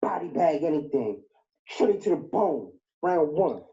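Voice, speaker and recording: Taunting battle rapper, dark muffled tone. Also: male voice, fairly high pitch, very animated, a little slow. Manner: taunting, rhythmic rap delivery. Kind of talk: battle rap verses.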